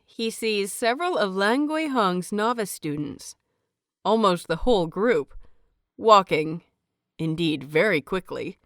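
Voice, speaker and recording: A woman reading scripted fiction as an audiobook narrator, with measured, expressive phrasing. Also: medium pitch, clear, resonant tone, no echo, studio quality.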